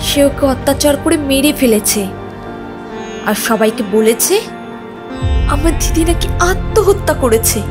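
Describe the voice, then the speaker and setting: A woman voicing a young wife in a cartoon who pleads in a high voice. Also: animated, unhurried, pauses often, over music. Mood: sorrowful.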